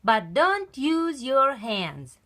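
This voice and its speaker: Woman voicing an excitable high-pitched boy frog. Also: very slow, full character voice. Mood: chanting, cheerful. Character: excitable young boy frog soccer player.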